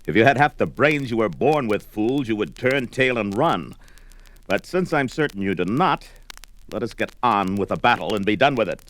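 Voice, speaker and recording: Big deep-voiced barbarian, cocky and taunting, swingy delivery, old audio drama. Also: male voice, expressive, moderate pace, a bit bright, dry recording, low-quality recording. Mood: defiant, mocking. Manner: taunting, grandiose archaic speech. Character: brawny, mocking, defiant barbarian warrior. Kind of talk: 1970s audio drama acting.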